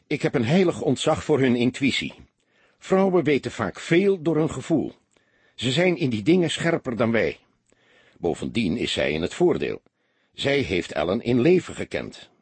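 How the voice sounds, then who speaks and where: A man voicing a seasoned, laconic police inspector who lectures his young partner calmly and a little gruffly, with a husky voice. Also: medium pitch, no echo.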